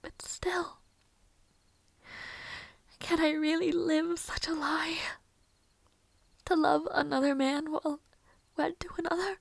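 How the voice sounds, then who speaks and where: A woman plays a tender young maid in a fantasy romance roleplay. Her voice is very high and slow, soft to the edge of a whisper, in a close, dry recording. She speaks hesitantly and formally, full of anxious longing and self-doubt. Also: fairly even intonation, dry recording, heavily acted. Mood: wistful, heartbroken. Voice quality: soft, breathy, often near whisper. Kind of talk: intimate audio roleplay acting.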